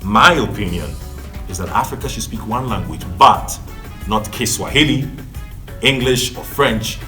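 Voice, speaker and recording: A man hosting a lively debate show, talking in a provocative, challenging manner. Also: lowish voice, measured pace, soft background music.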